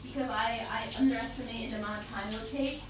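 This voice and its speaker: A woman doing an intimate girlfriend roleplay in casual one-sided chat, playful and teasing, often halting and repeating words. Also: fairly high pitch, steady tone, far from the mic. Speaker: girlfriend roleplay audio performer. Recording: very echoey bathroom-like sound, off-mic, background water noise.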